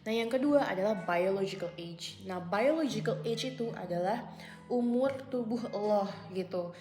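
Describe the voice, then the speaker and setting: A woman's voice: medium pitch, moderate pace, a little mellow, soft background music. A woman in her twenties talking casually to camera at home in a vlog, chatty and full of fillers, often slipping into English phrases. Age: 20s. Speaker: vlogger. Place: at home, indoors. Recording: echoey room.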